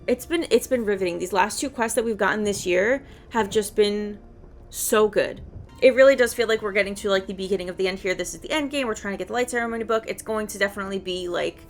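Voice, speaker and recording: A woman giving casual, rambling game commentary as a video creator, thinking aloud and questioning herself as she goes. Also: mid-range voice. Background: background music.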